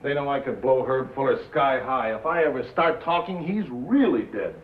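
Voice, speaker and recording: An actor playing a brash, boozy, bitter radio executive in a 1950s film drama, talking in glib, slangy, back-slapping patter. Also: male voice, medium pitch, lively intonation, moderate pace, close to an everyday voice. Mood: cocky, scheming. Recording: tinny, noisy 1950s film soundtrack.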